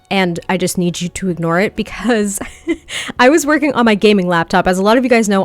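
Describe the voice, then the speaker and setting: A chatty woman narrates a game build in a relaxed, rambling way, with a voice that is a little nasal from a lingering head cold. She sits close to the microphone at her desk at home, and the sound is dry and close with no room echo. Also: medium pitch. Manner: chatty, rambling, with small laughs. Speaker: video game build creator. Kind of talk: casual voice-over commentary over gameplay. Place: home setup at a standing desk.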